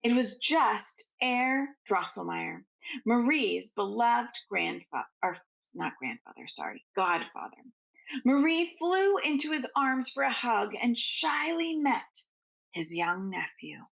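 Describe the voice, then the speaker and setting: A woman reading a storybook aloud to children as a teacher, with expressive, deliberate phrasing and informal asides. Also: on the high side, creaky, low-quality recording.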